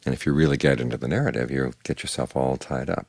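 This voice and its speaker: An older man, a Buddhist monk, giving a calm, measured evening meditation talk in plain words. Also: a bass voice, gravelly, breathy edge, dry recording, clean studio sound.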